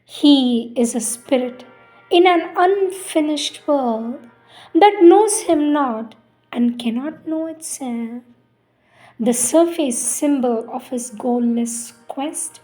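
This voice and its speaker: A woman reciting spiritual poetry in a solemn, measured, deliberate way with pauses between phrases. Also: fairly high pitch, mellow, low-quality recording. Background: music.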